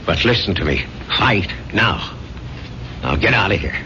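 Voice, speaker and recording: An American actor playing a protective, middle-aged ex-soldier barkeeper in a 1970s radio drama. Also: male voice, low voice, lively intonation, measured pace. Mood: urgent, exhorting. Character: gruff, protective older ex-soldier barkeeper, urgent and fatherly. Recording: muffled, hissy 1970s radio recording.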